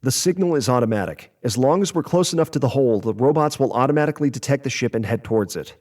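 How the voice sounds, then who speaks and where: Skeptical male spaceship crewmate actor, mid-range voice, level-headed and measured, sci-fi radio play. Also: mid-range voice, lively intonation, darkish tone, lightly acted. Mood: calm, skeptical. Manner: measured, rational. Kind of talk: sci-fi audio drama acting.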